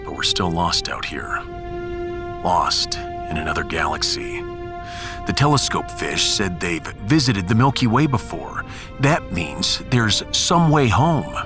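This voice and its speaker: A man's voice: low voice, pitched below the performer's own voice, full character voice. A man playing a wry, resolute and hopeful starship captain in a sci-fi audio drama, speaking earnestly.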